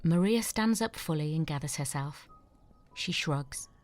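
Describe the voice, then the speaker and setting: Woman narrator, low voice, stage directions, even measured reading. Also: no echo, soft background music.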